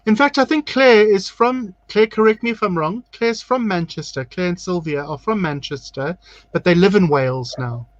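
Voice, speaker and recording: A man's voice: high voice, moderate pace, no echo. A man from South Africa hosting a casual drag livestream, chatty and camp, reading out viewer comments. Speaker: drag queen host. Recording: dull lo-fi sound, dry.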